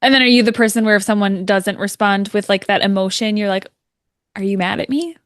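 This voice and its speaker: Woman, 30s, from Minnesota, podcast host: friendly, agreeable, quick to chime in, dry close mic. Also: mid-range voice, no room sound. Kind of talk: casual interview chat.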